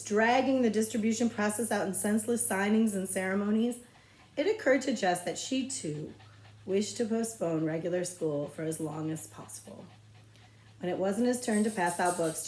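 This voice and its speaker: A woman reading a children's novel aloud as a librarian, with expressive, measured storytelling phrasing. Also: medium pitch, echoey room, a little hiss or hum, rough recording.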